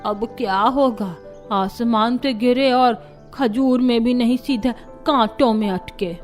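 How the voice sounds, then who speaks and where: A dramatic older mother-in-law from a cartoon tale. Her voice sits fairly high and she grumbles and exclaims plaintively over soft background music. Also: slow pace. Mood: dismayed. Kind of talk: Hindi cartoon story voice acting.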